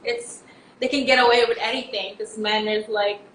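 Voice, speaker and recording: A woman guest telling her personal story haltingly, with many fillers and restarts, her emphasis rising on key words. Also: on the high side, fairly even intonation, fairly bright tone, slight background noise. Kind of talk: emotional personal testimony. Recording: roomy echo.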